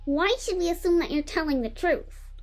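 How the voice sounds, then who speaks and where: A woman's voice: very high voice, moderate pace, faint music behind. A female performer playing a small, suspicious, grumpy orphan girl with a blunt, challenging manner in a family audio drama.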